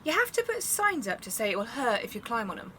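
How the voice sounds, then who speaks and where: Paranoid stubborn woman in an audio play, bit high, blunt, clear outdoor noise behind. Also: big pitch swings, fast, bright, forceful tone. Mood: paranoid, insistent. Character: suspicious, stubborn woman guarding her money. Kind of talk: naturalistic audio drama acting.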